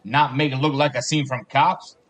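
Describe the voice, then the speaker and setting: A man's voice: medium pitch, fairly even intonation, moderate pace, a bit bright, close to an everyday voice. An actor playing an exasperated, bossy male police superior in an audio drama.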